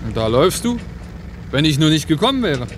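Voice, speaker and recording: An actor playing an easygoing, teasing truck driver in a radio drama, talking in a casual, practical way. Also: male voice, very animated, a little fast. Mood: practical, bantering. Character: easygoing, bantering male gravel-truck driver. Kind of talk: naturalistic radio drama acting. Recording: noisy, with truck engine rumble. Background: vehicle noise.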